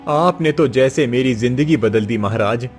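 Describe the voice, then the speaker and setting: A man's voice: mid-range voice, moderate pace, soft background music, close to an everyday voice. A man voicing a poor, honest farmer in a moral-story cartoon, with a humble, earnest manner.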